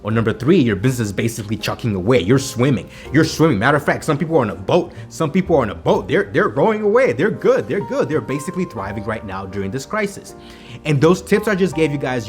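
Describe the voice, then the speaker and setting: Fast-talking Kenyan man vlogging. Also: mid-range voice. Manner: energetic, repeats himself, says "right?" to the viewers. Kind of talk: motivational business vlog talk. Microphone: camera mic on a home video set.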